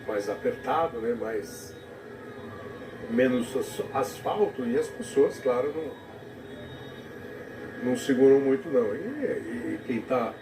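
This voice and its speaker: A man's voice: medium pitch, lively intonation. Man commenting, echoey and far off. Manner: reflective, halting, with many restarts. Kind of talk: live broadcast commentary. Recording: TV audio recorded off the air from a distance in an echoey room, noisy.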